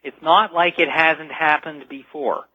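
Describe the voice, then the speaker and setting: A man's voice: fairly high pitch, steady tone, measured pace, dry recording. A man speaking as a radio show guest, explaining things earnestly in an interview. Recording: slightly dull lo-fi radio call audio.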